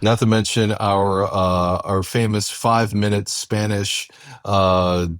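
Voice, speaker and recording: A man co-hosting a podcast, chatting casually and a little haltingly as he reminisces. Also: fairly low pitch, steady tone, clear, resonant tone, no room sound, studio quality. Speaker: podcast co-host.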